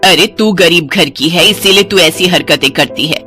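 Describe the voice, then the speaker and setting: A woman's voice: lowish voice, moderate pace. Haughty older mother-in-law played by a female narrator, domineering and scolding, story-drama delivery over a music bed. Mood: scolding, angry. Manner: scolding with sharp rhetorical questions.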